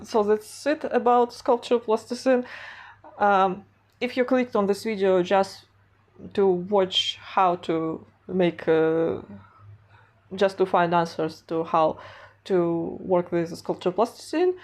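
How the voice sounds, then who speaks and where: A woman's voice: on the low side, a little mellow. A woman vlogger, stuffy from a cold, talking casually about her art with many hesitations. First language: Russian. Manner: hesitant, many fillers and restarts. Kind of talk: casual vlog narration.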